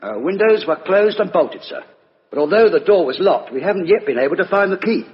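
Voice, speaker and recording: An actor playing a deferential British police sergeant, reporting in a clipped, orderly way in a 1950s radio play. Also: male voice, sits high, animated, a little fast. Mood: dutiful. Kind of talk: 1950s radio drama acting. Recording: muffled, echoey 1950s radio recording.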